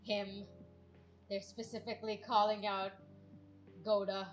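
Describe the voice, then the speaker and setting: A woman's voice: mid-range voice, flat delivery, a little slow. A woman streamer doing a casual, slangy read-along, reading dialogue aloud and reacting with informal asides. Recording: music playing behind the voice. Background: background music.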